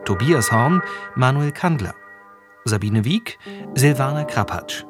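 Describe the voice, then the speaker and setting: A male announcer reads scripted radio drama titles and credits. His voice sits at a medium pitch, with music clearly playing behind it. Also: lively intonation, measured pace.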